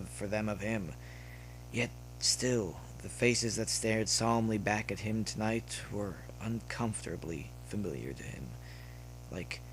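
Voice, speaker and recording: Low-voiced man narrating fiction, humming background. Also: rough recording. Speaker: audiobook-style narrator of fan fiction. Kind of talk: scripted story narration, dramatic reading. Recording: noticeable background noise with hum.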